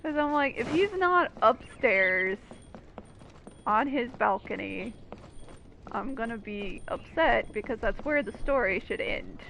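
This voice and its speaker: A woman's voice: fairly high pitch, lively intonation, a little slow, creaky, fairly bright tone, a little hiss or hum, poor audio. A woman giving casual, informal, reactive commentary over a game playthrough. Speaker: gaming video commentator. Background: game music.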